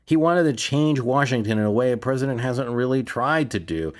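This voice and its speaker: A man's voice: mid-range voice, expressive, brisk. A man hosting a history podcast, talking through political history in a conversational, emphatic way with rhetorical questions.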